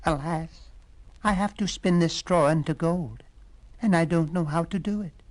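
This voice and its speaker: A man voicing a frightened poor young woman who becomes queen, in a high, tearful falsetto. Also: measured pace, lightly acted. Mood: pleading. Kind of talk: storybook reading.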